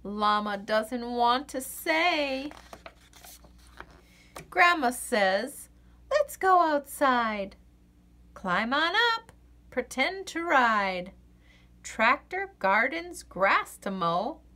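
Animated, high-voiced woman reading a picture book. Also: very slow, pauses often. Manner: expressive, sing-song rhyming delivery for young children. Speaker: children's story reader. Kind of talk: read-aloud picture book narration.

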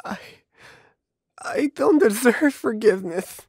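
A woman voicing a troubled, tender young male rock musician with a lisp and halting delivery in a comic dub. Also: fairly high pitch, animated, a little mellow, husky, no room sound, studio quality, heavily acted. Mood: anguished, self-loathing. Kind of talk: amateur comic dub acting.

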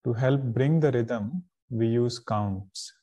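Male meditation teacher, soft-edged dark tone, unhurried delivery in calm short phrases with pauses. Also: mid-range voice, breathy edge. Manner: calm, deliberate, pausing between short phrases. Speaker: spiritual teacher leading guided meditation. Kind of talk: guided meditation instruction.

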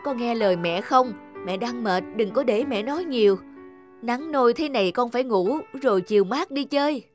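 Cartoon mum, played by a woman, high voice, strict, caring, affectionate scolding, music behind. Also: animated, normal speed, close to an everyday voice. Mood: tired, scolding. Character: strict but caring, tired young mother. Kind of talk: animated moral fable voice acting.

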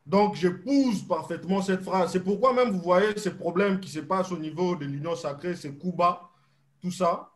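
A young man, a guest activist, arguing his point in a political debate over a video call, slow and insistent. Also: high voice.

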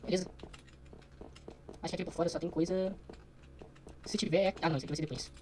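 A man's voice: high voice, steady tone, quick pace, darkish tone. A young Brazilian man giving casual, slangy let's play commentary, muttering to himself as he goes. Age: young adult. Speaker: gaming streamer.

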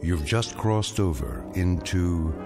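A man giving a solemn, deliberate dramatic show opening as narrator. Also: low voice, animated, loud music behind the voice.

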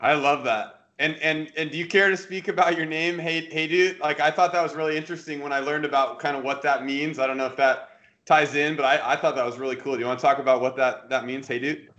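A man's voice: fairly high pitch, steady tone, quick pace, a bit bright. A man in his thirties hosting a podcast over a video call, enthusiastic and encouraging. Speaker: podcast host. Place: home room, indoors. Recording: dull lo-fi call audio.

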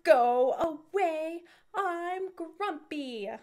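A young woman voicing grumpy storybook animals with drawn-out, emphatic grumbling. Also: extremely high pitch, lively intonation, darkish tone, roomy sound, voice pushed up, exaggerated voice.

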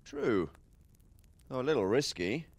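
A doubtful lord asking pointed questions, dry close sound. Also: male voice, medium pitch, big pitch swings, unhurried, creaky, breathy edge, no room sound, full character voice. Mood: skeptical. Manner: skeptical, questioning. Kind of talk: video game cutscene dialogue.

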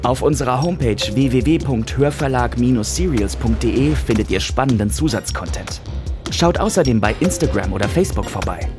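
A man's voice: mid-range voice, expressive, fairly quick, music in the background. A man reading a scripted podcast outro as announcer.

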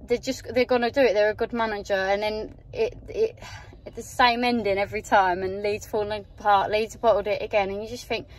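A woman's voice: mid-range voice, fairly even intonation, a little fast. A young woman talks into a phone held at arm's length inside a car at night. Her voice wavers with tears as she gushes with emotion, caught by the small phone mic. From England, outside Yorkshire. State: teary. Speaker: football fan. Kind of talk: emotional vlog to camera. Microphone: phone camera held at arm's length.

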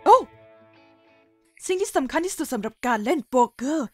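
A woman's voice: high-pitched, lively intonation, brisk, darkish tone, no echo, soft background music. A woman voicing a foul-mouthed cartoon rock mom. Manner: swears freely, snaps impatiently. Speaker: mother hosting a poker game. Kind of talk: Thai fan dub of a cartoon.

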